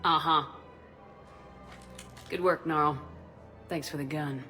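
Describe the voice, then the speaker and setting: No-nonsense military hero in a game, deep for a woman, dry n wry, bit of music. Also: steady tone, slow, bright, forceful tone, big-room sound, heavily acted. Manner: dry, assertive.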